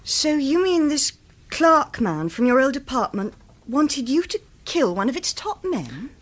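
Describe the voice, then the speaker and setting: Radio thriller female lead: a caring, anxious partner, high and animated, pressing earnestly for answers. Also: unhurried. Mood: troubled, questioning. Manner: earnest, pleading, pressing with questions. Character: anxious, caring, insistent girlfriend of a hunted man.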